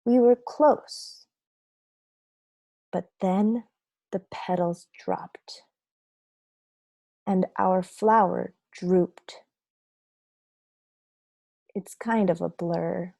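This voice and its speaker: Storybook seed , read by a woman: grumpy yet remorseful, confessional and dramatic, lowish and mellow, for young listeners. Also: drawn-out words, creaky, long pauses, no room sound, exaggerated voice. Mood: wistful recounting. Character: grumpy, remorseful bad sunflower seed telling his story. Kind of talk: children's picture book read aloud.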